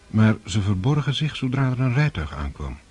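A man's voice: mid-range voice, very animated, normal speed, a little mellow, slightly rough. A man narrating a radio drama in a scripted, literary storytelling style.

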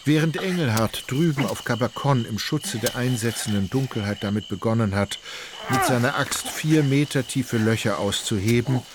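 Expressive male narrator, lively intonation. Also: medium pitch, normal speed, background noise. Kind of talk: scripted literary narration in a radio drama. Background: music bed.

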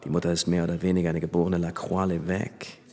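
An actor playing a flippant, worldly young French aristocrat and army officer in a radio play, chatting with easy familiarity. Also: male voice, sits low, flat delivery, fast, a little mellow. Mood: worldly, casual. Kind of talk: German radio play dialogue. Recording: long hall reverb.

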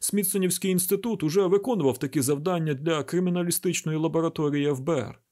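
A man's voice: on the high side, fast talker, dark tone, voice pushed up, natural voice. A man voicing a testy, exacting chief medical examiner in an audiobook, formal and precise in manner. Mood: controlled, explanatory.

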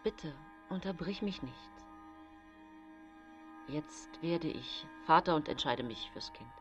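A woman voicing an evasive, apologetic male lover, earnest and halting, in a radio drama. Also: deep voice, expressive, dull audio, over music. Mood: apologetic, resolute.

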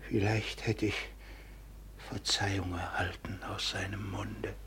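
An actor playing a frail, remorseful old father of noble birth in a classic radio drama, feeble, halting and lamenting, on a noisy recording. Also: fairly low pitch, little pitch movement, fairly bright tone. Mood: weak, mournful.

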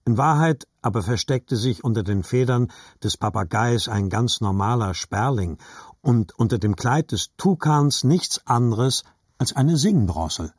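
A man's voice: mid-range voice, animated, measured pace, a little mellow, breathy edge, no echo, clean studio sound. A man narrating literary fiction for an audiobook in a scripted reading style.

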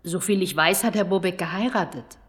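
A woman's voice: on the low side, fairly quick, close to an everyday voice. A woman playing a reserved, plain-spoken landlady in a radio play. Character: reserved female landlady at her door. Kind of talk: naturalistic radio drama dialogue.

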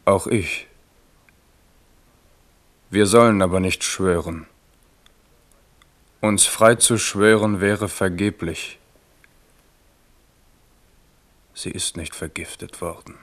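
An actor in a German radio drama playing a grieving, remorseful French king, speaking gravely and with measured, brooding weight. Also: low-pitched, fairly bright tone. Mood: grave, restrained.

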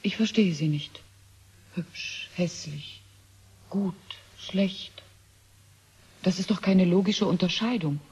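A woman's voice: on the low side, takes it slowly, pauses often, darkish tone, slightly rough, lo-fi sound, heavily acted. An actress playing an artificially created young woman in a German radio play, formal, literal and coolly logical as she learns human feeling. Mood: cool, puzzled.

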